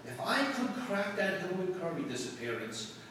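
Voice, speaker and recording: A man acts out a brash, persistent reporter from an old radio play, cocky and wisecracking. His fairly high voice rings through a long hall reverb, far from the mic. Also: sing-song, normal speed, a bit bright. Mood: cocky, needling. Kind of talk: 1940s radio acting. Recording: distant echoey hall, faint noise.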